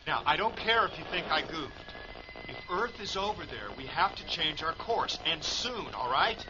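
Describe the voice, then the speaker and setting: Radio play: insistent, worried space navigator, fairly high and animated, noisy muffled recording. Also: male voice, moderate pace. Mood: urgent, frustrated. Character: anxious, insistent male astronaut navigator pressing his commander. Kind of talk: radio drama acting.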